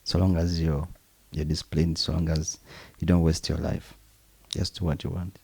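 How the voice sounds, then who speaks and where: Deep husky Ugandan man. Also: flat delivery, moderate pace, darkish tone, no room sound. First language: Luganda. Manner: chuckling, preacherly asides, demonstrates instruments. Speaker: percussionist guest. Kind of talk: relaxed interview conversation.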